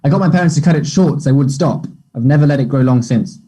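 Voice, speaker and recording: A man acting a guarded, quietly kind teenage schoolboy in a table read, speaking in a terse, understated way. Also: mid-range voice, fast, slightly rough, natural voice. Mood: gentle, concerned. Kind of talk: play table read, naturalistic dialogue.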